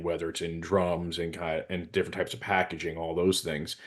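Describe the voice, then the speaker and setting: A man, a specialist co-host, giving an informal technical explanation in a measured way with pauses. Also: deep voice.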